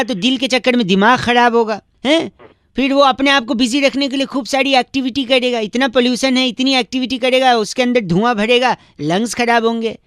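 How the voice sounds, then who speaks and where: A man's voice: extremely high pitch, fairly bright tone, smooth, no room sound, clean studio sound. A man voicing a cheeky, mock-polite prankster who makes comic radio prank calls in an earnest, rambling way. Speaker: radio prank-call host. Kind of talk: comic prank phone call.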